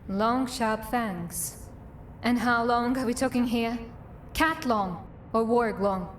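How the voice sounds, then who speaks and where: Woman acting as female drow assassin, highish voice, dry sardonic manner, guarded, fantasy game, faint noise behind. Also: slow. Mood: sardonic musing. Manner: dry, sardonic, muttering to herself. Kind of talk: acted fantasy game dialogue and monologue.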